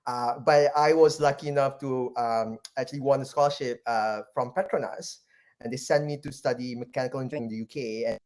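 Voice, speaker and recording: A man's voice: medium pitch, a little mellow. A man in his thirties from Malaysia, a podcast guest talking casually about his career and often restarting with fillers. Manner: rambling, many fillers and restarts. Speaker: guest, venture capital partner. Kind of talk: casual interview, life story.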